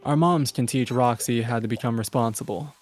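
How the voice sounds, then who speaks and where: A man's voice: mid-range voice, a little fast, darkish tone, soft background music, natural voice. A man voicing a tired, patient young cartoon dad in a weary manner.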